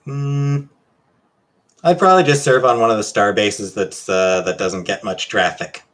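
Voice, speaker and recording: A man with a Canadian accent chatting casually on a livestream as he answers questions, halting and hesitant, often trailing off. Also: lowish voice, a bit bright. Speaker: livestream host.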